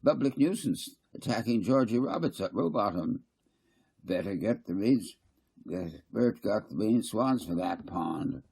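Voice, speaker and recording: A man's voice: lowish voice, expressive, unhurried, vocal fry, a little mellow, husky, exaggerated voice. A man reading a story, voicing a little old man with a piping, grumbling voice. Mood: cranky.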